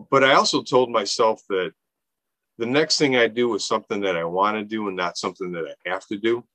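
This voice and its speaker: Earnest low-voiced man, dry sound. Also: a bit bright, no echo, rough recording. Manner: earnest, rambling, many restarts and fillers. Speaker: nonprofit founder, podcast guest. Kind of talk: casual interview talk.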